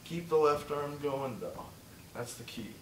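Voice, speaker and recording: A man teaching a movement drill step by step, encouraging and repeating himself, slightly hoarse and short of breath. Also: a little high, lively intonation, unhurried, reverberant, far from the mic, a little hiss or hum, rough recording. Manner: encouraging, repeats "just like that" and "good". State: losing his voice, a bit out of breath. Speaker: instructor. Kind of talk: step-by-step demonstration lesson.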